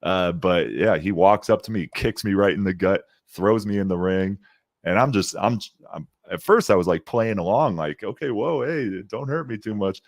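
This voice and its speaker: Guest spot: young man, deep gravelly voice, very low pitch, upbeat and quick to laugh, dry close sound. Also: dry recording. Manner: laughs often, upbeat storytelling. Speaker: guest, ring announcer. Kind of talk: casual interview chat.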